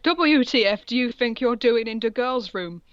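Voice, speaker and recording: A woman's voice: a little high, lively intonation, normal speed, dry recording, dull audio, close to an everyday voice. A woman voicing an angry, melodramatic teenage goth girl in a comic fan fiction reading, indignant and overdramatic. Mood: indignant shock.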